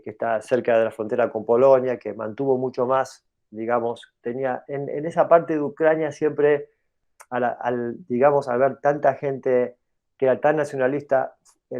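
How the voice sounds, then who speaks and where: A man's voice: medium pitch, gravelly, a little mellow. A middle-aged man who grew up in New York, explaining at length as an interview guest, hesitant with many fillers and restarts. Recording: dull lo-fi audio.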